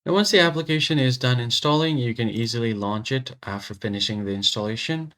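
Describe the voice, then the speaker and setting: A man narrating a step-by-step software tutorial in an informal, instructional way. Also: mid-range voice, brisk. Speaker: tutorial host.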